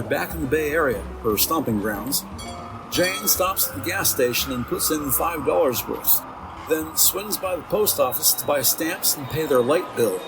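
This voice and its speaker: A man reading scripted audio drama narration in a measured, detailed storytelling manner. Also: on the high side, poor audio. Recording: music behind the voice.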